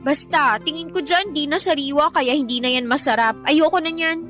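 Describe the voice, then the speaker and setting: A moody pregnant girlfriend with a high-pitched, piercing voice. She is bossy and blunt, whining out her demands in radio drama style. Faint music plays behind her. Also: moderate pace, fairly bright tone. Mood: insistent, whiny. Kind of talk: Filipino radio drama acting.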